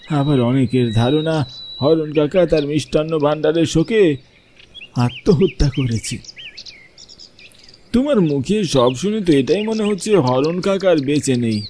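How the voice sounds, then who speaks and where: A man voicing a kindly, pious village cleric in a cartoon story, reassuring and measured as he talks. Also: a little high, lively intonation, mellow, slight background noise, voice pushed up. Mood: solemn recounting.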